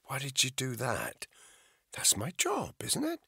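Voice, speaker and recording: A man's voice: medium pitch, huge pitch swings, unhurried, vocal fry, bright, forceful tone, breathy edge, no echo, clean studio sound, pitched above the performer's own voice, heavily acted. A man voicing a volatile, dangerous woman who hisses and whispers her scorn. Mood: scornful.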